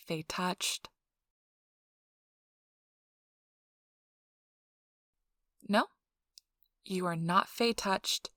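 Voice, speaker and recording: A woman playing a friendly, chatty intake interviewer in a soft ASMR role-play, explaining things politely and informally. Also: on the low side, dry recording, studio quality. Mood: matter-of-fact. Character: friendly, chatty female intake interviewer at a vampire blood donation center.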